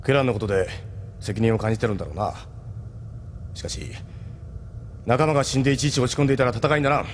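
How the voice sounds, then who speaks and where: A man's voice: mid-range voice, quick pace, pauses often, slight background noise, natural voice. An actor playing a pragmatic, reassuring male ship captain with a blunt, commanding manner in an audio drama. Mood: firm, reassuring. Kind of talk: Japanese audio drama acting.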